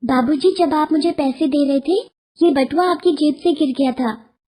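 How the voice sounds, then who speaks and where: A woman voicing an innocent, eager little girl with pleading, earnest delivery in an animated moral story. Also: sits high, measured pace, voice pushed up.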